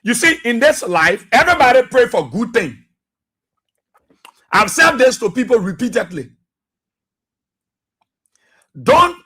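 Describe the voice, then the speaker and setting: A man with a Liberian English accent hosting a radio talk show. He repeats himself and exhorts listeners, with many fillers. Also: slightly rough. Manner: low and subdued for birthday greetings, then loud and preachy.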